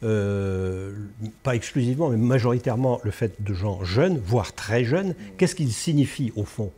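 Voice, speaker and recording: A male interviewer asking questions in a studio talk show, halting and repeating words as he searches for phrasing, with a French accent when he speaks English. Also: mid-range voice, lively intonation, warm tone, slight background noise. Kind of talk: podcast interview.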